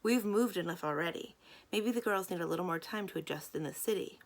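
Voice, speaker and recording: A woman's voice: fairly low pitch, brisk. A woman voicing a protective, plain-spoken grandmother in a children's novel, dry yet reassuring. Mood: gently firm.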